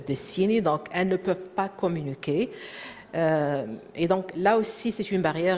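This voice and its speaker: A woman with a French-speaking accent giving a conference presentation, often pausing with fillers and repeating words as she searches for the next phrase. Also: on the low side, darkish tone. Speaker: presenter at a professional development session. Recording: echoey hall.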